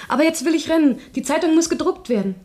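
A woman playing a devoted, brisk young servants' union activist in a radio drama, earnest and articulate. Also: sits high, expressive, dark tone. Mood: hurried. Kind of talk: literary radio drama acting.

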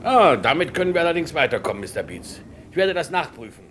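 An actor playing a courteous, formal and helpful airport director in a German radio crime drama. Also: male voice, a little high, very animated, fast talker. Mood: matter-of-fact. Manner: formal, obliging. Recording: clear background noise and ambience.

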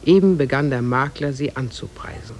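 A man playing a measured storyteller, narrating an old oriental fairy tale in a 1950s radio drama. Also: mid-range voice, lightly acted. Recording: faintly noisy 1950s radio recording.

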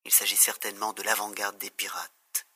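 Man acting a very sharp, husky space lookout. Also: lowish voice, level tone, brisk, exaggerated voice. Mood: alert, factual. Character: urgent male mercenary lookout reporting by communicator. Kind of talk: science-fiction audio drama acting.

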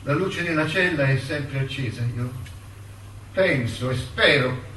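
A dignified, quietly defiant imprisoned intellectual in a radio play. His clear-cut, bright voice moves deliberately, with weighty pauses and a wry edge. The sound is roomy and set back from the microphone. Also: medium pitch. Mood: sorrowful, resigned. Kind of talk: stage-style radio drama, letter and courtroom dialogue. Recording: roomy, distant, noisy theatre-like recording.

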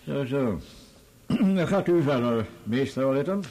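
A man's voice: mid-range voice, animated, a little slow, warm tone. A man playing a sceptical, authoritative judge in a radio courtroom drama, interjecting formally with a drawl. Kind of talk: radio play courtroom acting.

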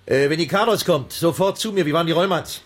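An actor playing a harassed, gruffly good-natured circus director in his forties, in a 1980s German radio drama. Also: a little high, quick pace, lightly acted. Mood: decisive. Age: 40s. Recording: radio play, faint music behind.

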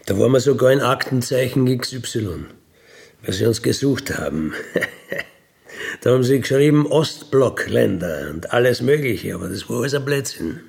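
A man's voice: medium pitch, lively intonation, moderate pace, vocal fry, a little mellow. A middle-aged or older man with an Austrian accent, candidly recalling his own life in a colloquial, matter-of-fact, unapologetic way. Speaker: ex-convict interviewee telling his life story. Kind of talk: candid conversational recollection.

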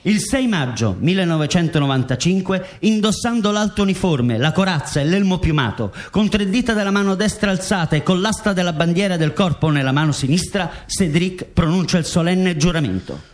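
Fairly high-voiced male narrator. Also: lively intonation, brisk, hardly any pauses. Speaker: narrator in a radio play. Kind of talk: scripted documentary-drama narration.

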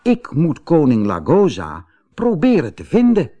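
A man's voice: on the high side, wildly swooping pitch, unhurried, dark tone, dull audio, voice pushed up, exaggerated voice. A man voicing a gallant, concerned fairy-tale hero who speaks earnestly and resolutely. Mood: worried, resolute.

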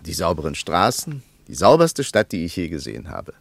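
A man's voice: sits low, wildly swooping pitch, fairly quick, creaky, breathy edge, no echo, exaggerated voice. An actor playing a cautious, conventional male tourist in a radio play. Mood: cautiously approving.

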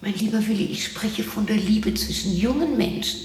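An actress in her sixties playing a lonely, bitter, eccentric widow who talks to herself in a halting, sardonic, theatrical way in a radio drama. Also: mid-range voice, a little mellow, close to an everyday voice. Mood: wistful. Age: 60s. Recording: roomy echo with faint noise.

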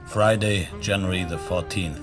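An actor playing a composed narrator, reading measured scripted narration in a radio drama. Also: male voice, deep voice, steady tone. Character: composed male narrator of a science-fiction story. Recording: music bed under the voice.

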